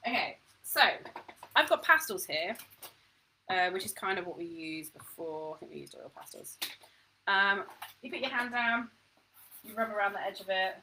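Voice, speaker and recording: A woman's voice: mid-range voice, expressive, moderate pace, long pauses, bright, forceful tone, slight background noise, low-quality recording. A woman teaching a home-education history lesson to camera, chatty and self-deprecating, thinking aloud as she goes.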